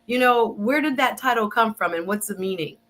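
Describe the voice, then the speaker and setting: A woman's voice: a little high, a little fast, fairly bright tone, low-quality recording. A woman hosting an interview show, chatty and enthusiastic, talking straight to her viewers. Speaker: show host.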